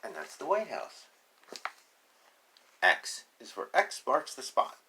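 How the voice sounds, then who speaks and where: A man's voice: medium pitch, wildly swooping pitch, slow pace, noisy background. A man reading a children's picture book aloud, announcing letters emphatically and adding simple, teacherly explanations as he goes. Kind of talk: read-aloud with explanatory asides.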